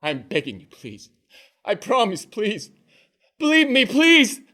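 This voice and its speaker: A male storyteller playing an excitable fishmonger husband, boisterous and pleading by turns, his voice leaping all over the place. He is a sheepish drinker who talks loud and eager. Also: high-pitched, slow, full character voice. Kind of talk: one-performer comic storytelling.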